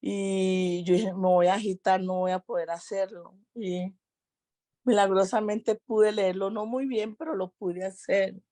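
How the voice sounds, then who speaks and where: A woman's voice: mid-range voice, steady tone, measured pace, dark tone, no room sound. A woman in a reading group earnestly sharing a personal experience. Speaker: reading club participant.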